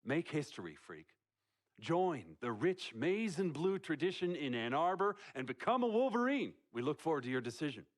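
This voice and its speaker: A man's voice: on the high side, sing-song, moderate pace. A man playing an earnest, enthusiastic college coach courting a recruit in a video game. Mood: earnest, rousing.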